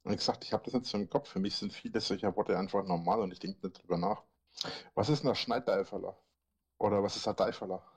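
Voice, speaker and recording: A man's voice: fairly low pitch, quick pace, a little mellow, breathy edge. A man with a Franconian accent, rambling in casual chat as he quizzes listeners on dialect words he answers himself. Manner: rambling, asks and answers his own quiz questions.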